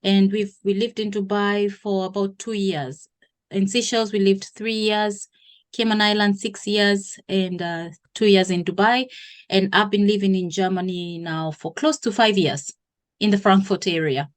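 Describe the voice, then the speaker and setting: A woman from Kenya in her thirties, a guest in an interview, who explains things at length with pauses and self-corrections. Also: medium pitch, steady tone. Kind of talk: interview conversation.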